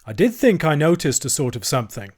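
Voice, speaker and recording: A man's voice: a little high, big pitch swings, fast talker, darkish tone, breathy edge. A man voicing a wary, stubborn country manservant in a storybook, with blunt folksy speech and dropped aitches. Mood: reluctant, firm.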